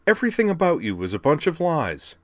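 A man voicing an exasperated, sarcastic young woman, his voice lifted in pitch. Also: moderate pace, a bit bright, lightly acted. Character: exasperated young woman, sharp-tongued tech worker.

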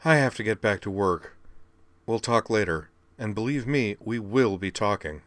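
A man's voice: lowish voice, moderate pace, a bit bright, slight background noise, close to an everyday voice. Sylvie, a man voicing an exasperated woman. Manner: exasperated, sarcastic. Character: exasperated young woman, sharp-tongued tech worker.

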